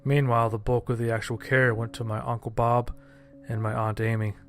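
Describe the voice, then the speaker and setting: A man narrating a scripted first-person horror-comedy story in a deadpan, sardonic manner. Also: medium pitch, a little fast, soft background music.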